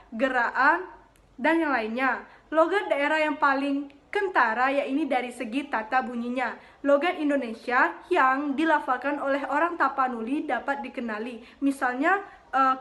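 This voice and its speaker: A young woman student reading a class presentation, steady but with frequent hesitant fillers. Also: sits high. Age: young adult.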